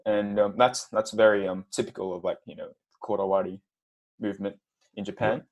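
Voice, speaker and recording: A young man in his twenties, a podcast guest who speaks in a nervous, halting way with frequent stumbles. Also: on the low side, level tone. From Australia. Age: 20s. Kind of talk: casual interview.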